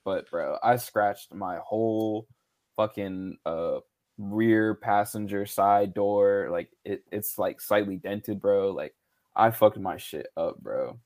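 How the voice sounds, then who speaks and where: Man, slightly low pitch, hoarse and fading voice, relaxed, swearing, casual live-show host. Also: slow pace. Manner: laid-back, loose, swears a lot, says "bro" and "man". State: losing his voice. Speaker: podcast co-host. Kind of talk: casual live-stream chat.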